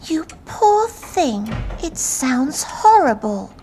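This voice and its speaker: High-voiced sympathetic young woman, acted. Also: sing-song, drawn-out words, warm tone, slight background noise, heavily acted. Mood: sympathetic, curious. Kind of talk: audio drama acting.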